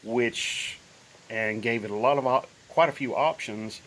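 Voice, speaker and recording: A man's voice: medium pitch, unhurried, fairly bright tone, a little hiss or hum, rough recording. A man in his fifties with bronchitis, sounding a bit nasal, rambling casually about his old car while filming himself on a phone in his cluttered garage. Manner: rambling, folksy, lots of ums and restarts. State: sick with bronchitis, slightly nasal. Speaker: car owner vlogger. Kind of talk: casual walkthrough vlog. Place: cluttered home garage indoors. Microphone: phone held at arm's length.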